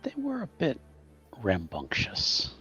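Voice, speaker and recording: A man playing a small, mild, polite male adventurer who speaks softly and hesitantly in a tabletop roleplay. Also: medium pitch, wildly swooping pitch, slow, faint music behind, voice pushed up, heavily acted. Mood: hesitant, conciliatory. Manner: soft, hesitant, apologetic.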